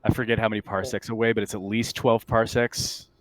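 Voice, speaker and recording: A man narrating a tabletop roleplaying game as game master in a halting, conversational way. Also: fairly low pitch, level tone, rough recording.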